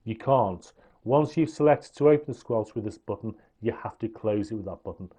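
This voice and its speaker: A man's voice: lowish voice, brisk, dark tone, breathy edge. A man explaining how a gadget works step by step, in an informal hands-on review style. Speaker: product reviewer.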